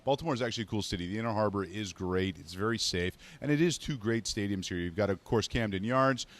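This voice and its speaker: A man from Montreal hosting a fantasy sports radio show in casual, joking chat. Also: lowish voice. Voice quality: joking, brisk. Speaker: podcast host.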